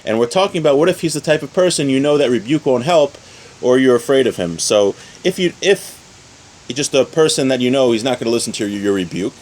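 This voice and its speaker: A man's voice: medium pitch, animated, quick pace, darkish tone. A man giving an informal religious lesson outdoors, explaining conversationally and often rephrasing his points. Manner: conversational, repeats and rephrases, checks in with "right?". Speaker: religious class teacher. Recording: outdoor recording with faint ambient noise.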